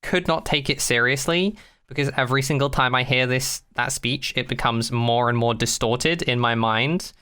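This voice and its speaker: Podcast co-host, bright forward voice, mid pitch, wry jokey tone, casual review chat. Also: moderate pace, smooth.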